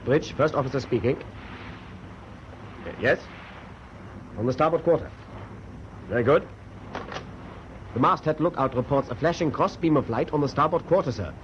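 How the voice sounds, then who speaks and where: A man's voice: medium pitch, moderate pace, pauses often, natural voice. An actor playing a dutiful German first officer reporting to his captain in a radio drama. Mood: dutiful, concerned. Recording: muffled, clearly noisy radio drama recording.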